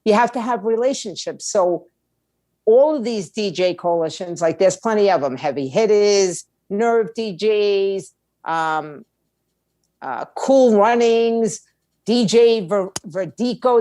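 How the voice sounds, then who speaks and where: Middle-aged female podcast guest, mid-range pitch. Straight-talking, emphatic, street-smart advice, very dry sound. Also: animated, takes it slowly, mellow, no echo. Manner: blunt, streetwise, emphatic with rhetorical questions. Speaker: podcast guest, music attorney and indie label owner. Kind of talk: conversational advice in an interview.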